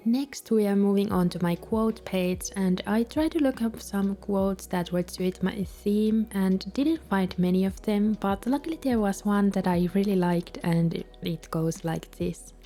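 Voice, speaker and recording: A woman with a Finnish accent, a native Finnish speaker, calmly narrating a voice-over for a journaling video in a gentle, soft-spoken way. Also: medium pitch, unhurried, hardly any pauses, soft background music. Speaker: bullet journal vlogger.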